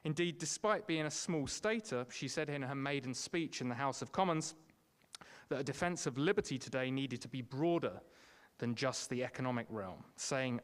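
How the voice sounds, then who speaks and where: A man hosting an event, reading a formal, measured introduction of the guest speaker. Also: mid-range voice, smooth.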